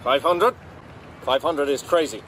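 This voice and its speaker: An actor playing a skeptical, terse dog buyer driving a hard bargain in a radio drama. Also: male voice, fairly high pitch, measured pace, a bit bright, a little hiss or hum. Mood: skeptical, firm.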